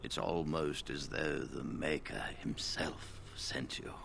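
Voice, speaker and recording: An actor playing an elderly male wizard leader, grave and weary, speaking in a measured, solemn way. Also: rumbling low, fairly even intonation, creaky, fairly bright tone, a little hiss or hum, exaggerated voice. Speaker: first enchanter.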